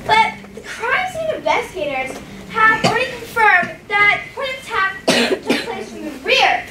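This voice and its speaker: A cunning fairytale prosecutor acted out by a woman with a very high, wildly swooping voice. She interrogates theatrically and with great emphasis, heard across an echoey, noisy stage. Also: very animated, normal speed. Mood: accusing. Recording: echoey stage from far off, loud noise.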